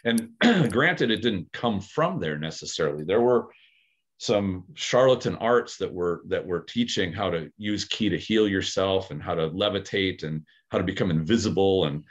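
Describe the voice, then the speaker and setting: A man's voice: low voice, expressive, moderate pace, highs cut off. A man talking through ideas on a podcast, thoughtful and hesitant, with many fillers and restarts. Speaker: aikido practitioner, podcast co-host. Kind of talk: casual podcast discussion.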